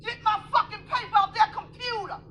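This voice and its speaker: Woman, furious profane rant, very high pitch, sharp tone. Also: fairly even intonation, brisk, some chatter behind, slight background noise. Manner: furious, swearing nonstop, berating. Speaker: angry customer.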